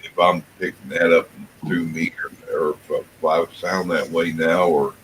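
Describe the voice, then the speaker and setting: A man with a deep voice chatting casually in a folksy, colloquial way. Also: steady tone, unhurried, a little hiss or hum, low-quality recording. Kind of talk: casual chat.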